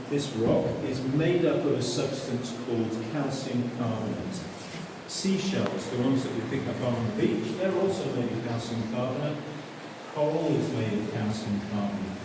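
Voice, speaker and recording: A male tour guide talks a group through a limestone cave in a chatty, explaining way and keeps putting questions to the visitors. His mid-pitched voice reaches a camera some way off and rings around the stone with a long, cavernous echo. A steady hiss of noise sits under the whole recording. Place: inside a limestone show cave. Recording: echoey cave, distant camera mic, noisy.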